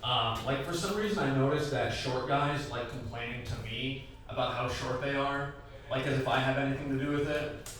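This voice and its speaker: A man's voice: mid-range voice, normal speed, a bit bright, far from the mic, slight background noise. A young man in his twenties doing a stand-up set, rambling and conversational, full of "like"s and acted quotes. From Iraqi family, raised in the Midwest. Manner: loose, conversational storytelling with many "like"s and acted quotes. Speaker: stand-up comic. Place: tavern comedy room. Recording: echoey bar room recorded from afar.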